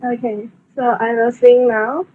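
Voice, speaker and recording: Phone-quality audio, high-pitched woman, Thai accent, simple English, eager and halting, casual live chat. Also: flat delivery. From Thailand. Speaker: fan joining a livestream. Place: remote live video call. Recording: tinny phone-like call, faint noise.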